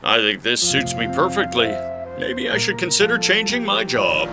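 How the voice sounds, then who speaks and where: A woman voicing an earnest fire truck character in a children's cartoon, eager but easily discouraged, with an expressive delivery. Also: a bass voice, moderate pace, full character voice. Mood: dejected. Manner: expressive, exclamatory. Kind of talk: animated children's cartoon dub acting. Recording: music under the voice.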